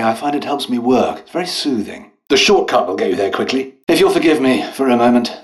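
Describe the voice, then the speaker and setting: An actor playing a polite, eccentric British doctor and scientist in a video game, with refined, hesitant, apologetic and understated speech. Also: male voice, fairly low pitch. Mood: courteous, cheery. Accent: British English. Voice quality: refined, well-spoken. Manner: courteous, hesitant, understated, chatty.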